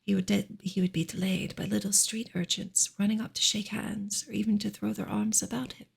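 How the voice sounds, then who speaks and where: A woman gives a soft ASMR reading of literary prose. Her voice is very breathy and husky, often slipping toward a whisper, with a creaky grain. She narrates gently and carefully, keeping her intonation fairly even. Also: mid-range voice, fairly quick. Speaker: reader, ASMR creator.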